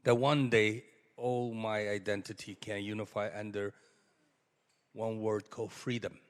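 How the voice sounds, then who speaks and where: Man, low-ish voice, halting panel remarks, long hall reverb. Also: level tone, clear, resonant tone. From China. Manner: halting, many fillers, rising with emphasis. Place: conference hall.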